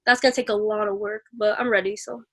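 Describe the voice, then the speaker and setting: A woman's voice: medium pitch, steady tone. Teen girl with a stutter, lots of likes. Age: teenager. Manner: stutter, many "like" fillers, sometimes slow. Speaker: guest. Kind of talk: casual interview answers. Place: small room indoors. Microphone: video call.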